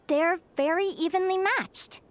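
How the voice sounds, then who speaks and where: A woman voicing a young female bird fae, curious and adventurous, expressive and earnest in anime-style game dialogue. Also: extremely high pitch, measured pace, soft background music.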